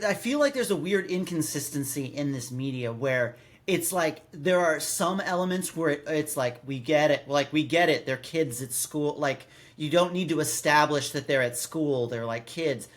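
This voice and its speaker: A man hosting a podcast in casual chat, rambling and often restarting his sentences mid-thought. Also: a little high. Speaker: podcast host. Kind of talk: casual chat reviewing a radio drama.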